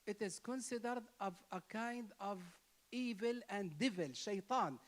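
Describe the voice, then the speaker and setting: A man's voice: sits high, a little slow. Man, Palestinian Arabic accent, Arabic native speaker, impassioned speech, emphatic, repeats words for stress, lifts his voice on key words. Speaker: speaker at an interfaith gathering.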